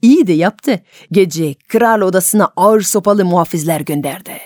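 A woman storyteller narrating a folk tale expressively for adult radio listeners, with dramatic, deliberate phrasing and pauses. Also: lowish voice, darkish tone, dry recording, clean studio sound.

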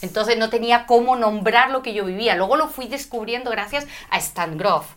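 A middle-aged woman from Spain, telling her story as an interview guest with an animated, spiritual way of talking. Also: fairly high pitch, normal speed. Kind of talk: conversational interview.

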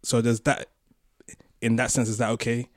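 A man's voice: mid-range voice, little pitch movement, normal speed, no room sound, studio quality. A man co-hosting a casual podcast discussion, hesitantly thinking aloud as he talks. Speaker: podcast co-host.

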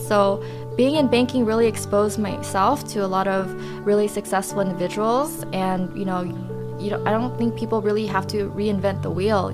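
A young woman speaking as a podcast guest, reflective and unhurried, pausing often with fillers as she thinks. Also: mid-range voice. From United States. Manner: reflective, with many pauses and fillers. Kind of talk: casual interview.

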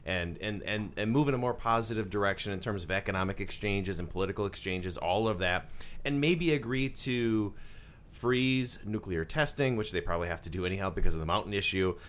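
Calm guy on a politics panel, kinda measured, repeats himself thinking. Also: lowish voice, slight background noise. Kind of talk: political discussion.

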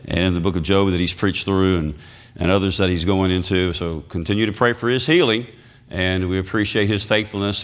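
A man, a visiting preacher whose voice is scratchy from illness, preaching in a folksy way and calling out amen to the congregation. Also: a bit bright. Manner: reads scripture slowly with rising pitch and emphasis. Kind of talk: sermon.

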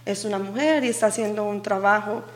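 A woman's voice: medium pitch, quick pace. A woman with a Costa Rican Spanish accent, chatting casually as an interview guest and acting out remarks as she tells stories. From Costa Rica. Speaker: guest, coffee business owner.

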